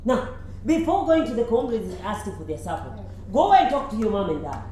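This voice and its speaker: Mellow, animated Kenyan friend, acted by a woman. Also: on the high side, brisk. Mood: supportive, playful. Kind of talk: stage play acting. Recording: very echoey, crowd and faint noise.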